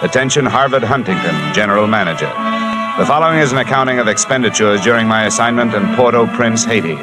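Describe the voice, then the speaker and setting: A man's voice: mid-range voice, fast, lightly acted. An actor playing a wry, tough male freelance insurance investigator in an old radio drama, with dry wisecracks and first-person narration. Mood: matter-of-fact. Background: music.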